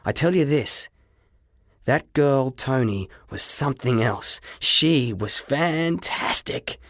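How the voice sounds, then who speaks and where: Slow, measured male storyteller, close and dry. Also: medium pitch, dry recording. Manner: expressive, measured, deliberate phrasing. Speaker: audiobook narrator. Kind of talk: dramatic first-person storybook narration.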